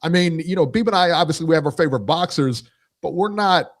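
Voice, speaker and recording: A man guesting on a podcast, speaking a bit higher than most men. He talks loosely, circling back and repeating phrases with plenty of fillers. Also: quick pace. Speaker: guest, boxing channel co-host. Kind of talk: casual podcast chat.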